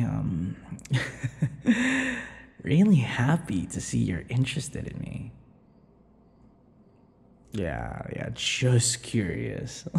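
A man voicing a possessive, centuries-old vampire in an intimate ASMR boyfriend roleplay, teasing and breathy, often dropping toward whispers. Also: medium pitch, darkish tone, heavily acted. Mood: flustered, teasing. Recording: long reverberant echo.

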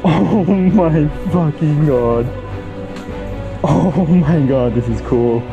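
A man's voice: on the high side, extremely slow, gravelly, highs cut off. A man hosting a hiking vlog outdoors, chatting casually, swearing freely and switching between English and Japanese. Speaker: vlog host. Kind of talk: casual travel vlog chat. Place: outdoors on a forested mountain trail. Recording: outdoor vlog audio with music underneath.

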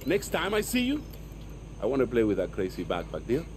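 A lively, eccentric guerrilla tinkerer. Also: male voice, mid-range voice, fairly quick. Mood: exuberant, cocky. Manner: cocky, teasing, repetitive patter. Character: eccentric, playful, jumpy male guerrilla tinkerer. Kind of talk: video game cutscene acting. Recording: clear outdoor night noise, crickets.

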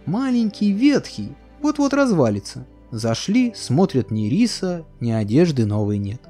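Man telling a fairy tale over soft music, voice kinda high and really animated. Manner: sing-song storytelling, sweeping pitch. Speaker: narrator. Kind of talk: expressive fairy tale narration. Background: faint music.

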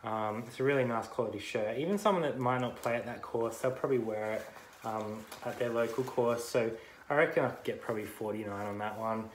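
A man in his thirties with an Australian accent, casually talking through his thrift finds for a vlog, relaxed and full of ums. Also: medium pitch, a little fast, reverberant, a little hiss or hum, poor audio. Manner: relaxed, many ums, chatty. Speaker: vlogger and reseller. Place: car, then a small living room. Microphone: phone camera at arm's length.

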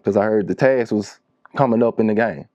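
A man's voice: lowish voice, fairly quick, darkish tone, no room sound, dull audio. A man in his thirties with a Louisiana Southern accent, chatting casually and telling stories in slangy talk as an interview guest. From Shreveport, Louisiana, United States. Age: 30s. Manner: slangy, conversational. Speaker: music producer, interview guest. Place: small wood-panelled recording studio.

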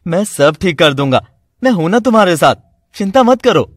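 A man's voice: high-pitched, very animated, normal speed, dry recording, clean studio sound. A man playing a devoted, worried young college student in a cartoon story, earnest and reassuring.

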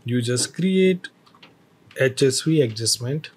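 Husky man walking through a software tutorial. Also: mid-range voice, lively intonation, slow pace. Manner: step-by-step, hesitant phrasing with fillers. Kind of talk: screen-recorded software tutorial.

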